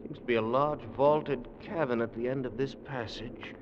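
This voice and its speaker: An actor in an old radio drama playing a resolute, analytical explorer, deliberate and grimly composed under danger. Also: male voice, medium pitch, fairly even intonation, lightly acted. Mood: tense, hushed. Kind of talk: 1940s-50s radio drama acting. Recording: dull, noisy old radio recording.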